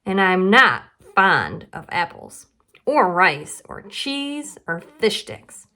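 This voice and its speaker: Woman reader playing small, picky little sister in a children's storybook. Slow, emphatic, drawn-out words. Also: mid-range voice, big pitch swings, pushed and bright, exaggerated voice. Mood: stubborn refusal. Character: small, funny, very fussy little girl.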